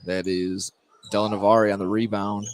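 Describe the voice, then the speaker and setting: Male play-by-play basketball announcer, lower register, live from a noisy gym. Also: little pitch movement, moderate pace. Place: indoor high school gym. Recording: noisy gym ambience, bouncing balls.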